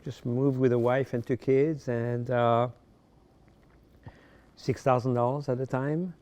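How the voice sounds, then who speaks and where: A man in his sixties from Morocco, raised in France, telling his life story as a podcast guest in a halting way, often repeating words. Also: medium pitch, fairly even intonation, a little mellow. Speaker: podcast guest, fashion designer. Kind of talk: casual interview storytelling.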